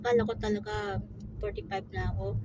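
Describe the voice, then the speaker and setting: A woman's voice: medium pitch, little pitch movement, fairly quick. A woman in her forties with a Filipino accent and Tagalog as her first language, chatting casually to viewers in a rambling, giggly, self-questioning way. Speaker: vlogger. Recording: muffled, loud vehicle and road noise.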